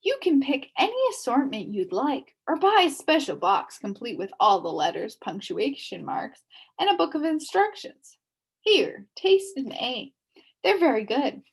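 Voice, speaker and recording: A friendly male letter seller from a storybook, chatty and confiding with his customers, as a woman puts him on. His voice runs fairly high and moves widely, with a soft, breathy edge. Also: big pitch swings, a little slow, full character voice.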